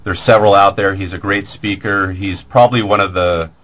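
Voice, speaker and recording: Very deep-voiced male radio host. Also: steady tone, fairly quick, a bit bright. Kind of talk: informal interview introduction.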